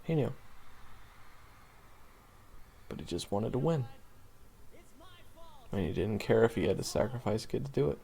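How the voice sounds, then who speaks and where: Young male monster hunter, played by a male actor, audio drama monologue. Casual, self-deprecating, trailing off; fry-heavy, expressive pitch, music underneath. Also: fairly quick. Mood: somber, reflective. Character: young monster-hunting swordsman, wry, wounded, easygoing. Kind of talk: conversational audio roleplay monologue.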